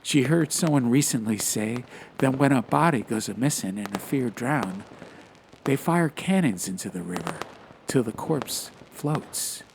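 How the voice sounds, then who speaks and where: A man's voice: mid-range voice, lively intonation, unhurried, gravelly, a little hiss or hum, poor audio. A man narrating a fantasy audiobook in a dramatic, literary style. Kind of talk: scripted fantasy story narration. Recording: music behind the voice.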